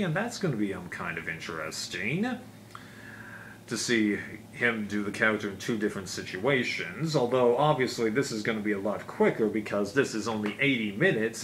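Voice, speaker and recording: A man's voice: lowish voice, a bit bright. A man talking casually and unscripted, as a reviewer in a collection vlog, in a rambling, conversational way with frequent asides. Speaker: video reviewer of home video releases. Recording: clearly noisy amateur recording.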